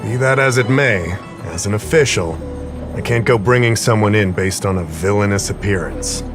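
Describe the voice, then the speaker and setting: A somewhat low-voiced male official from a video game, played by an actor. He carries casual authority and gives brisk instructions over background music. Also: natural voice. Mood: measured. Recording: music under the voice.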